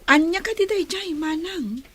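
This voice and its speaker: High-voiced earnest young sweetheart. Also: female voice, unhurried, a bit bright. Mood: dismayed. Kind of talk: radio drama acting in Filipino regional serial.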